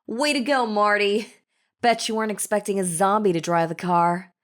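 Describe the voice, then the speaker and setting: A woman's voice: medium pitch, fairly even intonation, moderate pace, lightly acted. A woman playing a chatty, falsely friendly zombie in an audio roleplay, talking casually and persuasively straight to the listener. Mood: smug. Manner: casual, chummy, persuasive, conversational. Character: chatty female zombie, falsely friendly, coaxing flesh-eater. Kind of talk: scripted audio roleplay, addressing the listener directly.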